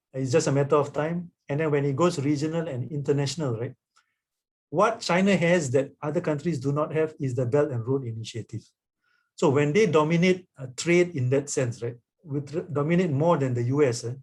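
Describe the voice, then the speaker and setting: A man's voice: a little high, darkish tone. A man, an author and guest speaker, answering a question in a webinar, explaining at length and often adding "right?".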